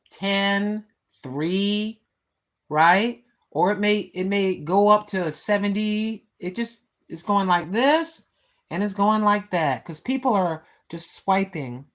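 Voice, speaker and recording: A woman in her sixties chatting casually on a live stream from home, rambling with many fillers and talking straight to her viewers. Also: medium pitch. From United States. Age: 60s. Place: home, seated. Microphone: phone.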